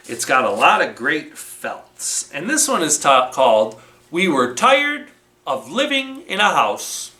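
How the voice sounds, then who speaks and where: A children's library storytime presenter reading a story aloud in a deliberate, expressive way, recorded in a roomy space at some distance from the microphone. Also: medium pitch. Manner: slow, deliberate, expressive read-aloud delivery. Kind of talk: animated storytelling with felt board.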